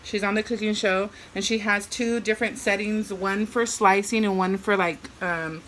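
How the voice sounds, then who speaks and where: A woman's voice: mid-range voice, fairly even intonation, normal speed, a bit bright, rough recording. A woman chatting through a home cooking video, joking bawdily and rambling as she goes. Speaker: cooking vlogger. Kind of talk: casual cooking chat. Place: home kitchen with food frying. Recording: sizzling pan noise behind.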